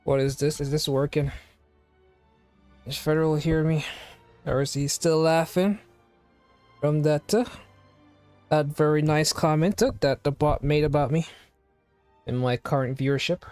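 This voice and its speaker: A streamer talking in a rambling, chatty way at mid pitch, throwing question after question at viewers. The sound is close and dry, with faint game music underneath. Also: steady tone, measured pace, pauses often, darkish tone, no echo. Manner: rambling, talks to chat with many questions. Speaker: live streamer. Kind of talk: casual live-stream chatter. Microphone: home streaming microphone.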